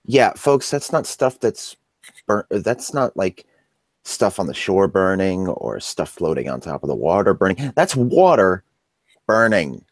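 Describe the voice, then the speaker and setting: A man's voice: deep voice, very animated, measured pace, dry recording. A man co-hosting a podcast in casual chat, with a drawling, dry humor. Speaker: podcast co-host.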